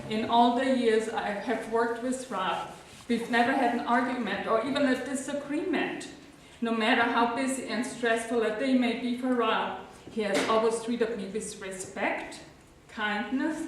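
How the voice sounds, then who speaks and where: A woman's voice: a little high, a little hiss or hum. A woman with a German accent reading a prepared tribute as a legal assistant at a hearing, a little nervous and earnest. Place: large echoey hearing room. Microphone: recorded from far by a camcorder. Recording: echoey room, distant camcorder mic.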